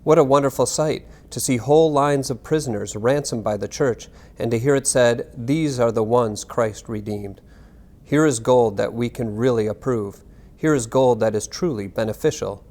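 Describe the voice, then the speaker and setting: A man giving a scripted reading of narration and historical text in a measured, formal manner. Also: mid-range voice, a little mellow. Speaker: reader.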